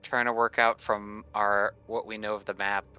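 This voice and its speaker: A man's voice: on the low side, level tone, moderate pace, bright, forceful tone, smooth, muffled recording. A man playing a tabletop role-playing game, talking casually and hesitantly as he thinks aloud.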